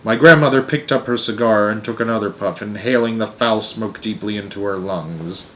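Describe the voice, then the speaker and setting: A man narrating a children's novel aloud in an expressive storytelling style. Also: on the low side, moderate pace, a little hiss or hum.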